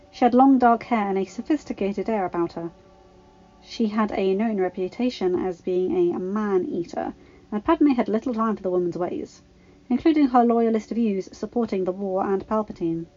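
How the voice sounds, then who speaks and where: Mellow woman reading a story, slightly dull sound. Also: medium pitch, normal speed, soft background music. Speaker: fan fiction reader. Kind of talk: scripted story narration with character voices.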